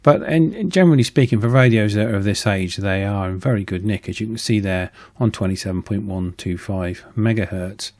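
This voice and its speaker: A man with a fairly low voice talks through repair work as the host of a hobby channel. His delivery is informal and matter-of-fact. He explains things casually, with some hesitation as he goes. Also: brisk, few pauses, a little mellow.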